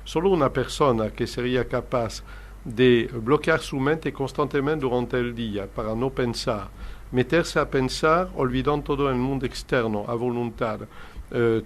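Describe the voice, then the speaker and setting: An older man who speaks Spanish as a second language, a hypnotherapist explaining his work in an earnest, lecturing way during an interview. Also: medium pitch. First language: not Spanish. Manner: earnest, explanatory, non-native Spanish phrasing. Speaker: hypnotherapist guest.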